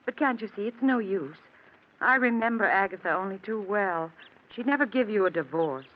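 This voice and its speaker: Actress as a sorrowful sweetheart, muffled old radio. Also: female voice, medium pitch, animated, normal speed, close to an everyday voice. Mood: anguished resolve. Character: gentle, sorrowful woman renouncing a married lover. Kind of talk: 1940s radio acting. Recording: dull, hissy old radio broadcast.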